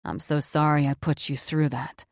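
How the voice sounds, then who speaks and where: A woman voicing a tender, remorseful man, a doctor, speaking low and earnestly. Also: flat delivery, moderate pace, dry recording, studio quality.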